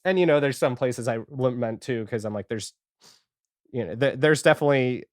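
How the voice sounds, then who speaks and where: A man's voice: medium pitch, a little fast, no room sound, clean studio sound. A man chatting casually as a podcast guest, enthusiastic and rambling, with lots of filler 'like'. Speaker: podcast guest, audio fiction creator. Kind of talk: casual chat.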